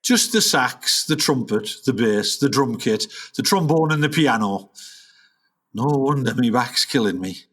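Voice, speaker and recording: A man's voice: mid-range voice, animated, a little slow, a bit bright. A man playing an aging, grumpy, melodramatic jazz musician with a North of England accent in a comic radio play. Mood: weary, complaining. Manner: griping, melodramatic, indignant.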